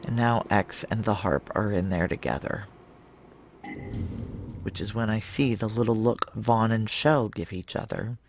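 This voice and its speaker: An actor playing a tense, deferential security chief in an audio drama, narrating in a clipped, wry American voice. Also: male voice, on the low side, unhurried. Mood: tense narration. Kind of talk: audio drama acting with first-person present-tense narration.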